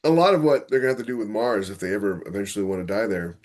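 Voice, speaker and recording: A man with a slightly low voice chats as a podcast co-host. He offers his views informally, as in an easy back-and-forth discussion. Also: lively intonation, racing, darkish tone. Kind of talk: casual opinionated discussion.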